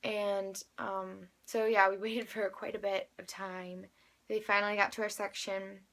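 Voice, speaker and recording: A woman's voice: mid-range voice, flat delivery, measured pace, a bit bright. A woman vlogger telling a personal story in a casual, chatty, rambling conversational way.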